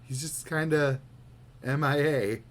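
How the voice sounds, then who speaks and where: A man co-hosting a podcast, chatting casually. He is laid-back, laughs easily and lets his sentences trail off quietly. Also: a little high, takes it slowly, slight background noise. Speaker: podcast co-host. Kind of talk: casual chat.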